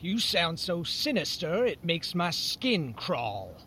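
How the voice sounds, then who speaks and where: A man's voice: a little high, sing-song, slow pace, pushed and bright, slightly rough, full character voice. An actor playing a prissy, indignant, sneering male conspirator in a period audio drama, haughty and peevish.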